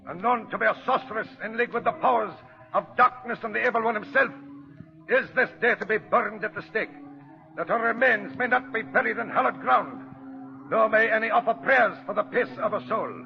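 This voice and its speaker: An actor playing a stern herald proclaiming a death sentence in formal, imperious 1940s radio style. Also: male voice, sits high, fairly quick, creaky. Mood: solemn, imperious. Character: stern, merciless executioner's herald pronouncing sentence. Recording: muffled old radio recording with music.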